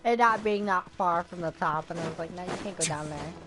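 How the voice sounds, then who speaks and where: A woman's voice: fairly low pitch, brisk. A woman giving casual gameplay commentary, muttering to herself as she plays. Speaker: video game streamer. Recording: loud game music behind.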